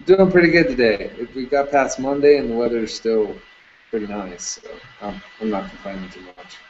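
A man in his thirties, a security camera business owner, talks casually in an interview over a video call, relaxed, with many fillers. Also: mid-range voice, normal speed. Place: indoor room with curtains. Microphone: webcam on video call. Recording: dull lo-fi video call audio, faint noise.

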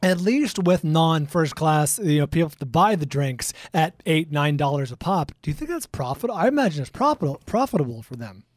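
A middle-aged American man with a somewhat high voice chats and tells casual stories. He is close on a studio microphone, and the sound is very dry, like a podcast co-host. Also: very animated, a little fast, few pauses, no room sound. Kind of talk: casual conversational storytelling. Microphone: close studio microphone with headphones.

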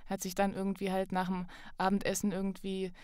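A young adult woman speaking as a podcast guest in a casual, thoughtful, halting conversational way. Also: mid-range voice, monotone, darkish tone, smooth, no echo, clean studio sound.

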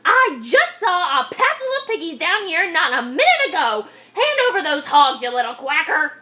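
A woman's voice: high-pitched, big pitch swings, slow pace, bright, forceful tone, heavily acted. A woman voicing a cranky, bossy storybook witch who screeches, cackles and hurls insults. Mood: screeching demands. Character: cranky, bossy witch hunting pigs, shrieking and threatening.

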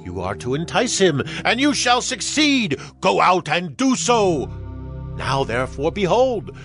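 A man playing a defiant, solemn prophet in a dramatized audio Bible, proclaiming in drawn-out declamation. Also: high voice, huge pitch swings, a bit bright, soft background music, full character voice. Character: Micaiah, a defiant, solemn male Hebrew prophet.

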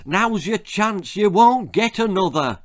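A man's voice: high voice, lively intonation, a little slow, pitched above the performer's own voice. A man voicing a stern, urgent old running coach in a storybook, commanding and emphatic. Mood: bawling, urgent.

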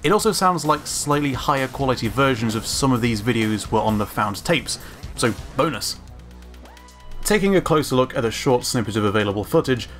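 Man narrating analysis over background music. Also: mid-range voice. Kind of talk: scripted analytical commentary.

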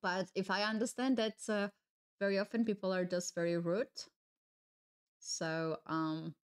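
A woman's voice: medium pitch, fairly even intonation, measured pace, smooth. A young woman with a Georgian accent, chatting casually to her stream viewers while playing chess, musing aloud with many fillers and restarts. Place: small home streaming room, indoors.

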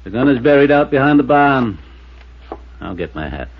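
An American actor playing a dour, calculating older rural businessman in a 1950s radio drama, speaking in a folksy, deliberate way. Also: mid-range voice, very animated. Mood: resigned. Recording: muffled, noisy 1950s radio recording.

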